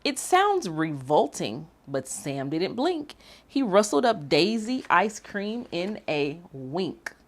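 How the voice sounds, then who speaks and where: A woman reading aloud and hosting for children, expressive and speaking directly to young listeners. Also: lowish voice, slow. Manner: animated, addressing young listeners. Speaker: children's story reader and activity host.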